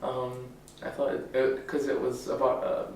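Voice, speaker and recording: A teenage boy from Singapore speaks in a measured, articulate way with short thinking pauses. His pitch stays very flat. He sounds far from the mic in a very echoey space with faint noise. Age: teens. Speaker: summer school student. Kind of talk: interview answers.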